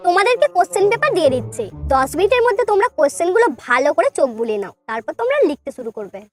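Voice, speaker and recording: A woman's voice: extremely high pitch, big pitch swings, very fast, faint music behind. A woman voicing a stern goddess posing as an exam invigilator in a comedy cartoon, scolding and commanding. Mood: calm, instructing.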